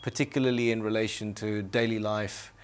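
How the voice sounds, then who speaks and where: Male telethon host, low flat delivery, courteous and welcoming, some filler words. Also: a little fast. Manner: polite, welcoming, hesitant fillers. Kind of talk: live telethon hosting. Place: studio.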